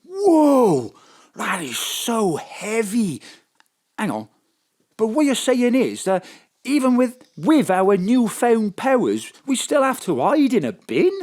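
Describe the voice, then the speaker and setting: A man playing a gullible, anxious husband and sidekick in an audio drama, excitable and confused. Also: high voice, slow pace, full character voice. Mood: amazed, woozy.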